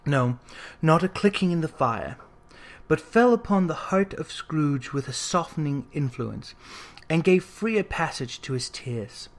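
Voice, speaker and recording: A narrator with a medium-pitched voice reads a storybook aloud. The delivery is expressive and clearly scripted, in the manner of an audiobook. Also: unhurried.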